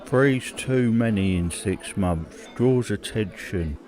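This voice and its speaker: Man as a low-voiced, seasoned British criminal. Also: slow pace, darkish tone. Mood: world-weary, casual. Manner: matter-of-fact, colloquial. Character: seasoned, hard-drinking criminal old hand, casually cold. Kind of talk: naturalistic audio drama dialogue.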